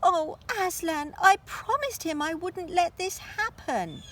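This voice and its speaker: A woman's voice: extremely high pitch, expressive, slow, fairly bright tone, voice pushed up, heavily acted. A woman voicing an emotional, tender-hearted young girl who cries out breathlessly, in a children's storybook reading. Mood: distressed. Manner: breathless, crying out.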